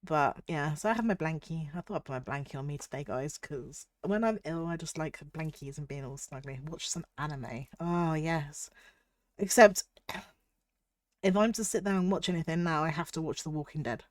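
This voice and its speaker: A young British woman streaming as a VTuber, chatting casually and reading chat aloud while her voice is husky from a cold. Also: sits low, normal speed, no echo. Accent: British English. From United Kingdom.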